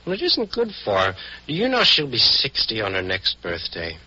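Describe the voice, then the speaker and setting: Raspy forceful mama's boy crook, fake polite, reproachful, old radio play, muffled sound. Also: lowish voice, huge pitch swings, moderate pace, full character voice. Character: reproachful mother-devoted criminal son, falsely polite. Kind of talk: 1940s radio acting. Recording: dull, muffled vintage radio recording.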